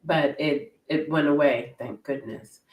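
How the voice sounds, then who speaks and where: Middle-aged woman w a really deep voice, chatty and self-mocking, sounds far from the mic. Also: measured pace, roomy sound. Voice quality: naturally deep voice. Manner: self-deprecating, laughs often. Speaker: guest hypnotherapist. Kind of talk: casual interview chat.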